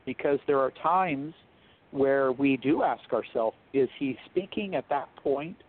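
A man speaking as a guest theologian in an interview, explaining in a measured, thoughtful, scholarly way over a thin phone-like line. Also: mid-range voice, slightly rough. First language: English.

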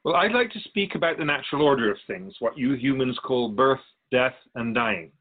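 A man playing a wise, patient otherworldly spirit in a radio drama, explaining things in a measured, gently teasing way. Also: mid-range voice, animated, narrow, tinny audio, natural voice. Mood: genial, earnest. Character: Keeper of Souls' Purpose, wise otherworldly spirit, patient and curious.

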